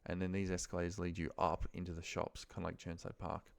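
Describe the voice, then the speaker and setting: A man speaks in a deep register with plenty of vocal fry. He talks through a computer task casually, as an office trainer would, thinking aloud. His short phrases often sound muttered to himself. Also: monotone, quick pace. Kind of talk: casual screen-share walkthrough, thinking aloud. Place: indoors at a computer, screen recording.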